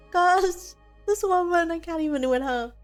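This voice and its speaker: A woman livestreaming with casual, blunt, expressive reaction commentary over a game. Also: extremely high pitch, takes it slowly. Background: game music faintly.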